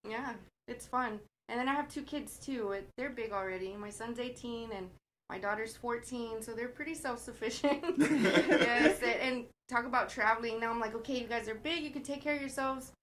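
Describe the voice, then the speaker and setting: A woman in her forties, a singer guest, chatting in a lively way and laughing as she tells stories. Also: on the high side, moderate pace, a little hiss or hum. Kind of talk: casual chat.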